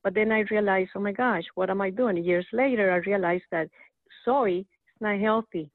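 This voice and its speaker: A woman's voice: mid-range voice, moderate pace, no echo. Woman on a phone line. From Costa Rica. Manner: earnest, animated, with frequent restarts. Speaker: guest. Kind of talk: casual phone-in chat. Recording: tinny phone line, dry.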